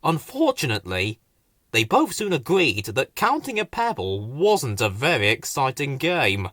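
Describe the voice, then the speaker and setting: A man's voice: medium pitch, huge pitch swings, a little slow, a bit bright, no echo, studio quality. A man narrating a children's story as an audiobook reader.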